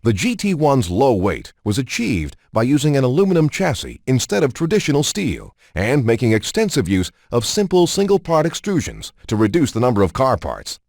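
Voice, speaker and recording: A male narrator delivers a polished, scripted showcase for a racing game. His pitch sits in a middle range but moves in an animated way from phrase to phrase. The sound is close and dry, with very little room on it. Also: normal speed, gravelly, hardly any pauses, no room sound.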